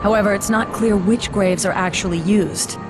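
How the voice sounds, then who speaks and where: A woman playing a composed, knowing guide to the afterlife in a video game cutscene, speaking in a measured, explanatory way. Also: mid-range voice, fairly even intonation, close to an everyday voice. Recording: loud music behind the voice. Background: loud music.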